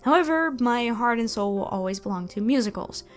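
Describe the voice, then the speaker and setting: A young woman with a fairly high voice talks through viewer questions in a chatty way. Her delivery is expressive, stretching some words for emphasis. Music plays softly behind her. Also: brisk. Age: young adult. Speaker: ASMR audio roleplay creator and voice actor answering questions. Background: background music.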